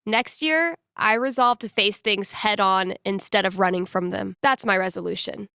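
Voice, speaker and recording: A woman's voice: medium pitch, normal speed, vocal fry, sharp tone, clean tone, no room sound. A woman playing a laid-back, playful young radio DJ in a video game, with wry, slangy, mock-dramatic call-in patter. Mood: upbeat, welcoming. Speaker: call-in radio show host.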